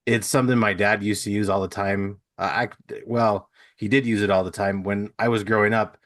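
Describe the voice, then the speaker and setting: Low-voiced man hosting a casual podcast. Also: fairly even intonation, fairly quick, fairly bright tone, dry recording, clean studio sound. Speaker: podcast host. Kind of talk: casual conversational interview.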